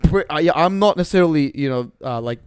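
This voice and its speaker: Male podcast co-host, slightly raspy today, loose rambling chatter, dry studio sound. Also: on the high side, expressive, quick pace, no room sound. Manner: rambling, laughs often. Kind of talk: casual podcast chat.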